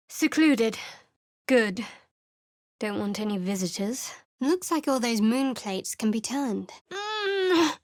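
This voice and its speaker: Woman doing a guarded young dark-goddess cleric, dry sarcastic game voice, a bit high, very dry close studio sound. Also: no room sound, natural voice. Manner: dry, sardonic, secretive. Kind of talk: video game character voice lines. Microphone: close studio mic.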